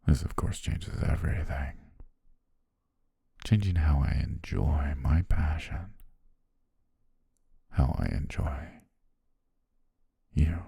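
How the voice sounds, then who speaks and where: An obsessive, softly menacing yandere photographer played by a man for an ASMR roleplay. The voice is extremely deep and very breathy, sinking into gravel and half-whispers. He speaks slowly and possessively, with deliberate pauses. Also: no echo, full character voice. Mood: possessive, tender. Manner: slow, hushed, deliberate, with drawn-out pauses. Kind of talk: ASMR roleplay, intimate slow monologue.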